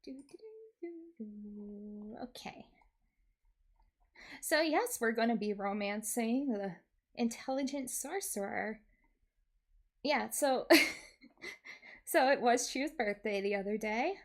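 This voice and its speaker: A woman with a fairly high voice hosts a livestream in a casual, chatty style. She talks at an unhurried pace, with an animated, giggly and expressive manner. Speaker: streamer, VTuber host.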